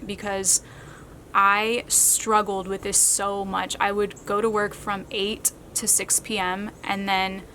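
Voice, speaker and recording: A woman's voice: medium pitch, a bit bright, slight background noise. Chatty thirty-year-old woman vlogger. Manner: chatty, reads out questions then answers at length. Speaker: vlogger answering viewer questions. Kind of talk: casual Q&A talk to camera.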